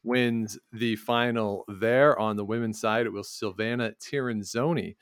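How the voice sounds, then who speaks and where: Canadian guy chatting sports as a podcast host, clean smooth voice, really dry close sound. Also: fairly low pitch, steady tone, unhurried, no room sound. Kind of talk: casual sports talk with results recaps.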